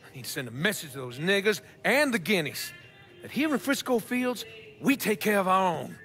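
A man's voice: high voice, very animated, moderate pace, pushed and bright, heavily acted. An actor playing a haughty, hot-tempered, bigoted middle-aged Southern man in a video game, with an emphatic, oratorical delivery. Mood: indignant, angry. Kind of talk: dramatic video game acting. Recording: music behind the voice.

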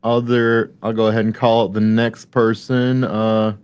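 A man's voice: on the low side, flat delivery, a little slow, a little mellow, clean tone. A man moderating a question-and-answer panel in a casual way. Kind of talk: casual hosting.

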